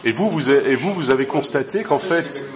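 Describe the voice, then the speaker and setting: A man's voice: medium pitch, animated, fairly quick, crowd noise. A man, speaking as the interviewer in an informal conversation. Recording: clearly noisy room ambience.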